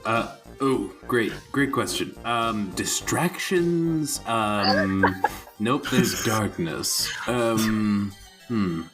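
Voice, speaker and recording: Slow-talking, low-voiced man at game play. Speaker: tabletop game player. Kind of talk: casual improvised game chat. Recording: music behind the voice. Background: music.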